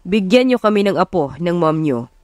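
A woman's voice: on the low side, sing-song, moderate pace, a little mellow, no echo, clean studio sound. A woman voicing a stern, skeptical father of a grown son, commanding and terse, mixing English into Tagalog. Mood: firm, accepting.

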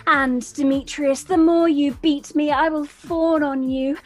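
Devoted, lovestruck young female, acted by a woman, classical radio drama, high register, ardent and imploring. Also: steady tone, a little slow. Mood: pleading, adoring. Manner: pleading, ardent, insistent. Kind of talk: Shakespearean radio-play acting.

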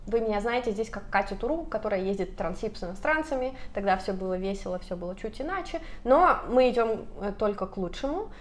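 A woman in her thirties talking to camera in a casual vlog, speaking animatedly and gesturing a lot, recorded on a lapel mic in a bright apartment room. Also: medium pitch, expressive, quick pace, hardly any pauses, slight background noise. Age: 30s. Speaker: vlogger.

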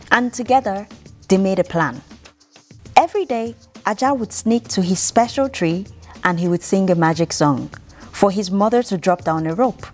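A woman's voice: lowish voice, a little mellow. Young woman telling a bedtime story over music. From Nigeria. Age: young adult. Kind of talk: scripted bedtime storytelling for children. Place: indoor room, seated by a piano.

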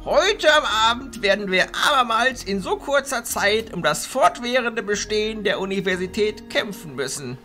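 Guy playing stern old wizard chancellor in a fantasy radio play, bright sharp voice, really animated, drawn-out emphatic phrases. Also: sits high, faint music behind, full character voice. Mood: grave, resolute. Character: elderly bearded male archchancellor, stern, protective, unhurried. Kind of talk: German fantasy audio drama acting.

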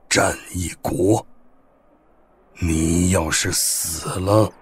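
A gruff old martial arts master in a wuxia audio drama. His voice is low and rough, and he speaks very slowly. He scolds and mocks, with care hidden underneath. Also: exaggerated voice. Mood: solemn. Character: gruff old male martial master, mocking, secretly caring.

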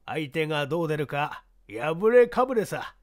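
A reader voicing a brash, impatient newspaperman in an audiobook mystery, with blunt, rough colloquial speech. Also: medium pitch, big pitch swings, normal speed, natural voice. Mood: reckless. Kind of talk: audiobook character acting.

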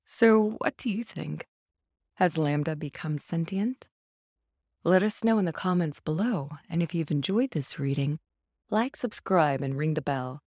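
Lowish-voiced woman host, dry recording. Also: lively intonation, measured pace, darkish tone, dry recording. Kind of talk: scripted video outro.

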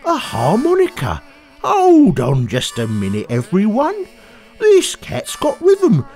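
A storyteller voicing an excitable young male frog musician who exclaims loudly and eagerly. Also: mid-range voice, slow, faint music behind.

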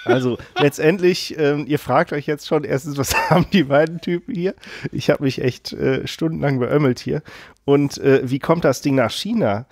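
Gravelly, rambling German podcast host. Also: male voice, on the high side, moderate pace, darkish tone, no echo, studio quality. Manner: rambling, laughs often, many fillers. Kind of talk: casual podcast chat.

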